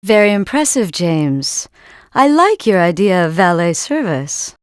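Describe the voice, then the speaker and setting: A woman's voice: on the low side, big pitch swings, a little slow, smooth, dry recording, studio quality. An actress playing a poised, flirtatiously teasing female spy in a video game. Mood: amused, teasing.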